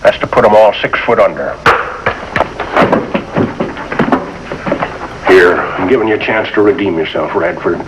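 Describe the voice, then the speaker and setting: A man's voice: deep voice, fast, lightly acted. An actor playing a proud, vengeful backwoods father with a rural American accent in a 1950s television drama. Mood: bitter, stern. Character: stern, proud, vengeful backwoods family patriarch. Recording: faintly noisy old TV soundtrack.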